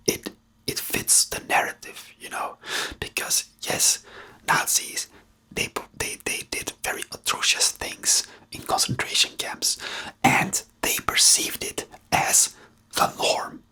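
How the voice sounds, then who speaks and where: An ASMR host who speaks in a breathy whisper with a non-native English accent, rambling hesitantly and searching for words while giving commentary. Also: pushed and bright. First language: not English.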